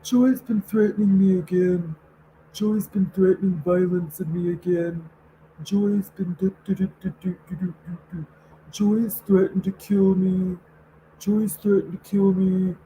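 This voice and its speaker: A falsely sunny male video host with a sing-song, syrupy greeting, as a woman mocks him in a higher voice than her own. He sounds pleased with himself and hollow. Faint noise sits under the recording. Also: fairly even intonation, measured pace, dark tone, close to an everyday voice, rough recording. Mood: whiny. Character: fake, overly chipper male online video host.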